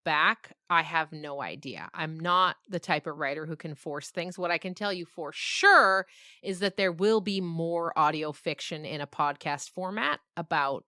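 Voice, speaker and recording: A woman's voice: low voice, unhurried, pushed and bright, dry recording, clean studio sound. A woman speaking as an interview guest, a storyteller and podcast creator talking reflectively and expressively in conversation.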